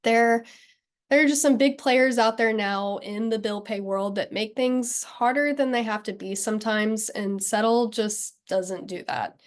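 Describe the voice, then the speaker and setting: A woman's voice: fairly high pitch, measured pace. A woman in her thirties from Texas, giving an upbeat, organized webinar presentation. She has a cold, so she sounds slightly nasal. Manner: upbeat and friendly, clear and organized.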